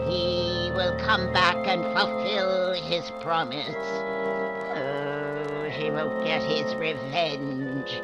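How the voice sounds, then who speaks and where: Low, slow, ominous old widow. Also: female voice, poor audio. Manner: slow, portentous, commanding. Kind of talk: melodramatic old-time radio acting.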